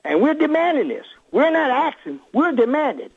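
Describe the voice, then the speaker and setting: A man's voice: extremely high pitch, sing-song, normal speed. A man speaking as an activist reverend and radio call-in guest, impassioned and emphatic, repeating phrases for force over a phone line. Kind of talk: impassioned political interview talk.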